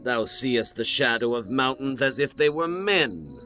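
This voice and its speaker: A man playing a taunting, scornful city ruler in a dramatized scripture reading, mocking in slow archaic speech. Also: mid-range voice, lively intonation, sharp tone. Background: music.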